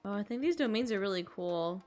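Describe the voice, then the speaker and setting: A woman's voice: mid-range voice, lively intonation, a little fast, darkish tone. A woman giving upbeat, chatty, casual commentary as she streams a game. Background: faint game music.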